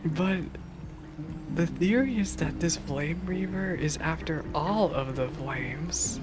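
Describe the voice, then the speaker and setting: A woman's voice: low voice, slow pace. A woman streamer giving casual, amused game reaction commentary, musing aloud. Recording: game music behind.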